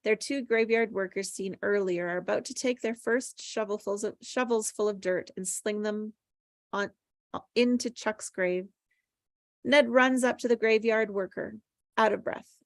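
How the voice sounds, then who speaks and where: Creaky-voiced woman reading stage directions. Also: medium pitch, measured pace, dry recording, studio quality. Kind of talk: scripted narration at a table read.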